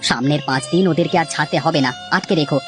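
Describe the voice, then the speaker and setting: A woman voicing a calm, reasonable village husband in an animated children's story, reassuring and reasoning. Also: low voice, fast talker, music in the background, lightly acted.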